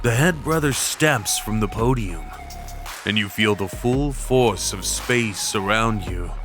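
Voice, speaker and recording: A man narrating a scripted dramatic story in the second person, with a measured, solemn manner. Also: on the low side. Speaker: narrator and voice performer. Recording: prominent music under the voice. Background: dramatic background music.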